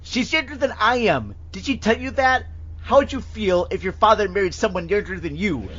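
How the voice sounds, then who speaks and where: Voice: medium pitch, lively intonation, normal speed, fairly bright tone, slight background noise, voice pushed up, lightly acted. A performer voicing a sullen, defensive young woman testifying in an amateur courtroom audio drama, with curt, sulky answers. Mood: bitter, distraught. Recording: dull lo-fi audio.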